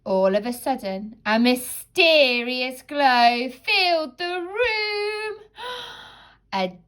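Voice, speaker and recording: Slow, very animated woman reading a storybook. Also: high voice. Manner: dramatic, deliberate. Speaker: teacher reading to children. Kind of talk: expressive children's storybook narration.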